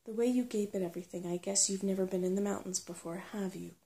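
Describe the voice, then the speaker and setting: A woman's voice: medium pitch, fairly even intonation, fast, creaky, warm tone, lightly acted. A woman reading the part of a crabby, bossy, sarcastic female brownie in a storybook.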